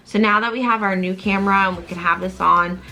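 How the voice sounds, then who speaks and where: A woman's voice: mid-range voice, steady tone, brisk, sharp tone, low-quality recording. A woman co-hosting a reaction channel in casual chat, filling her sentences with "like". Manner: filler-heavy, says "like" often.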